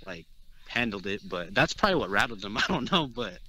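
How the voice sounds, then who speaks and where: A man's voice: on the low side, sing-song, brisk, pushed and bright, rough recording. A young man from Texas, a guest player in a casual interview, talking brash and cocky with plenty of swearing.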